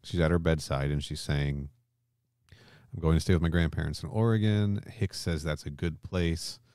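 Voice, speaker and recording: A man's voice: rumbling low, steady tone, normal speed, darkish tone, no room sound, studio quality. A man hosting a podcast, casually recapping a story in colloquial, mildly sweary language and often checking that his listener agrees. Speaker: podcast host.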